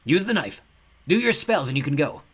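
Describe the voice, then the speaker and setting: A man's voice: fairly high pitch, sing-song, brisk, fairly bright tone, husky, voice pushed up, full character voice. A man voicing the ghost of a mad old Roman who taunts his captives and screams threats. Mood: mocking. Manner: taunting, then shrieking with rage.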